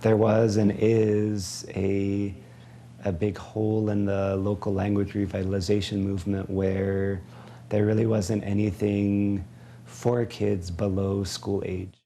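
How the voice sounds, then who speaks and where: A man, a language program coordinator, answering interview questions slowly and deliberately. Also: lowish voice, monotone, dark tone.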